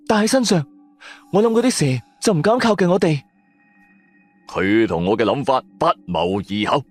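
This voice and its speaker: A man narrating a first-person horror story for radio in a suspenseful, colloquial manner. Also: fairly high pitch. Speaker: audio drama narrator. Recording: music under the voice. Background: background music.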